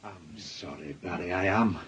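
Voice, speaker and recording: A man's voice: sits low, moderate pace, a bit bright. An actor playing a hesitant, awkward man in a radio drama, fumbling his sympathy. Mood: awkwardly sympathetic. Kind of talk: naturalistic British radio drama. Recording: dull radio drama, faint noise.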